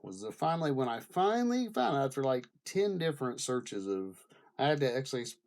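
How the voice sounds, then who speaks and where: A man talking off the cuff in a casual, rambling vlog style, often pausing and circling back as he goes. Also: medium pitch, sing-song, darkish tone. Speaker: vlogger. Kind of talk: rambling casual commentary to camera.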